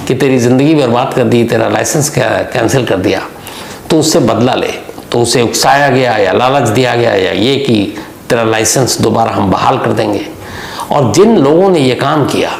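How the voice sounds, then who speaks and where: A man with a gravelly voice telling a true-crime story as a monologue, deliberately, posing rhetorical questions to the listener. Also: medium pitch. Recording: echoey room with faint background noise.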